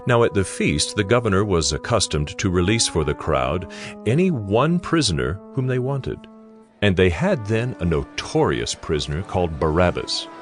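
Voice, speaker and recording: A man narrating a dramatized audio Bible in a solemn, measured storytelling manner. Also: sits low, big pitch swings. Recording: music under the narration.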